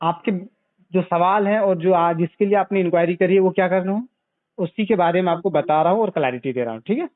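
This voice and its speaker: A man giving a didactic sales pitch as a consultant over the phone, repeating points and checking back with questions. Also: fast, dry recording. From Bihar, India. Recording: narrow, tinny phone call recording.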